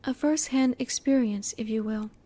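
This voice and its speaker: A woman voicing a curious, emotionally reserved female alien in an intimate roleplay audio, speaking in a formal, measured, inquisitive way. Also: a little high, mellow, close to an everyday voice. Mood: curious, polite.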